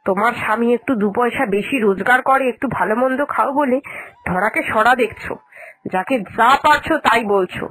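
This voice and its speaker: Casting: woman as long-suffering old mother-in-law in a family cartoon, high voice, plaintive and lamenting. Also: a little fast, fairly bright tone, dry recording, poor audio. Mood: aggrieved scolding. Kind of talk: Bengali cartoon dialogue acting.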